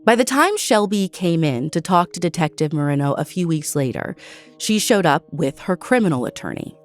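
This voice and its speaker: A woman's voice: on the low side, sing-song, normal speed, soft background music. An American woman hosting a true crime podcast, reading a scripted narration of a case.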